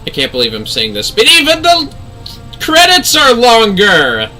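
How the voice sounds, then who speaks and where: A man commentates on a game livestream in a very bright, forceful voice. His pitch is animated and jumps around as he exclaims and jokes. He talks casually, swears freely, and has faint game music behind him. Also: measured pace. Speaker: livestream host.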